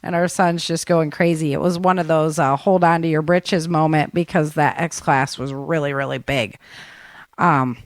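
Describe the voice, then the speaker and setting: A woman's voice: deep voice, fairly even intonation, normal speed, dry recording. Casual chatty woman hosting, dry close sound. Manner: chatty, informal, addresses listeners as guys. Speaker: podcast host. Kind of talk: casual news rundown and chat.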